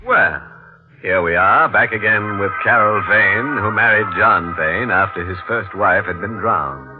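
An actor playing a wry, macabre storyteller host, theatrical and tongue-in-cheek, in 1940s radio horror style. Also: male voice, fairly low pitch, a little slow, natural voice. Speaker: host of a mystery anthology. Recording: muffled narrow-band vintage radio recording. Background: music.

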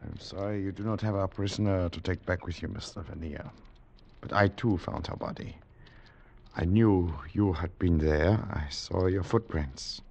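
An actor in a 1950s radio drama playing a grieving foreign man with a gravelly, husky voice. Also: deep voice, moderate pace, darkish tone, lo-fi sound.

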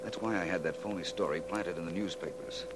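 An actor playing a composed, analytical detective in a 1940s radio drama, with measured, assured delivery. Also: male voice, low voice, close to an everyday voice. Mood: musing. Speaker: lead actor in a detective series. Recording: noisy old radio recording with hum.

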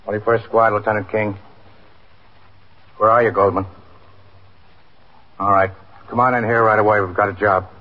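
An actor playing a no-nonsense police lieutenant in a 1950s radio drama, terse and businesslike. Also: male voice, lowish voice, flat delivery, moderate pace, long pauses, slight background noise, natural voice. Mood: commanding. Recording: dull, lo-fi 1950s radio recording.

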